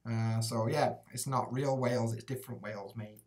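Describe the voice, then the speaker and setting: A man's voice: mid-range voice, fairly even intonation, moderate pace, a little mellow, far from the mic. A young Yorkshire man chatting loosely to his viewers, dropping swear words and "mate" as he goes. His voice sits a little back from the webcam mic in a small indoor room. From England, Rotherham area. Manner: casual, sweary, laid-back banter with lots of "like" and "mate". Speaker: YouTuber living in Japan. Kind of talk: live stream Q&A reading chat.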